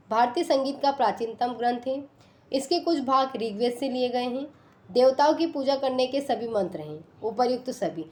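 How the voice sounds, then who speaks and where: Higher-pitched woman teaching. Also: a little mellow. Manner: instructional, reads out items one by one. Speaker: teacher, exam quiz presenter. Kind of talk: question-and-answer exam lesson, reading options then giving answers.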